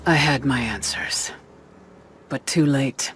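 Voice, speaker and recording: An actor voicing a solemn, regretful warden knight bound by oath, narrating in a measured way for a game. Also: male voice, medium pitch, background noise, natural voice. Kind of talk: dramatic scripted game narration.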